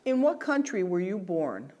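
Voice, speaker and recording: Woman reading formal interview questions, clear and measured, lively pitch. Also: lo-fi sound. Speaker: role-played citizenship interviewer.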